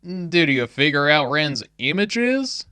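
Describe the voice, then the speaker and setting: A woman doing a jumpy, excitable bearded man. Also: deep voice, slow, clean tone, no echo, heavily acted. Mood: agitated. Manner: yelping, blunt.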